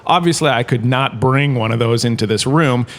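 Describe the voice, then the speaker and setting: An actor playing a self-satisfied, washed-up performer turned university lecturer, chatty and digressive in a comedic sci-fi audio drama. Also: male voice, mid-range voice, normal speed, close to an everyday voice. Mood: instructive, self-assured.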